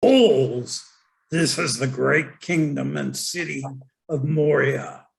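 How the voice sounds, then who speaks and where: Man acting a gravelly, proud dwarf warrior. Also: a little high, slow, heavily acted. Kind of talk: table read of a fantasy film script.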